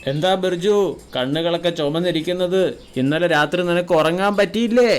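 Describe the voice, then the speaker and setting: A man's voice: high voice, a little fast, clear, resonant tone, natural voice. A man playing a wealthy, authoritative but fair-minded landlord in a cartoon moral story. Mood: concerned.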